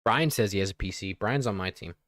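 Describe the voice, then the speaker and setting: Male co-host, slightly low voice, casual joking banter on a gaming podcast. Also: fairly quick, fairly bright tone.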